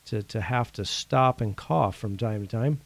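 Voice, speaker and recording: A man from Indiana hosting a casual faith-based talk, his voice a bit rough from a recent cold, speaking earnestly and conversationally. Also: on the low side, a little slow, dry recording. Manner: earnest, conversational, laughs now and then. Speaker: podcast host.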